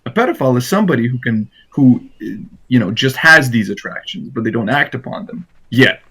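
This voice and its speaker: A man's voice: medium pitch, lively intonation, a little fast, pushed and bright, slightly rough. A man questioning someone on a recorded call in an insistent, confrontational, off-the-cuff way. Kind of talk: spontaneous interrogation on a call.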